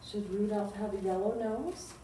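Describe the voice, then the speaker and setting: A woman's voice: mid-range voice, normal speed, dark tone. A woman hosting a storytime for young children, speaking in a playful sing-song way and asking simple questions. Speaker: children's library storytime host. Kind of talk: children's storytime talk, reciting poems and singing. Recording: roomy echo, distant mic, faint noise.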